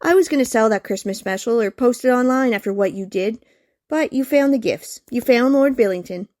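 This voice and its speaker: A grateful female guest in a radio play, voiced by an actress. The voice sits a little high and is recorded dry, with hardly any room around it. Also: brisk, a little mellow, dry recording, close to an everyday voice.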